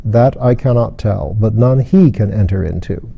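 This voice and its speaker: A man voicing a solemn ancient father in a storybook, speaking gravely and with calm authority. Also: fairly low pitch, moderate pace, lo-fi sound. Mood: solemn, assured.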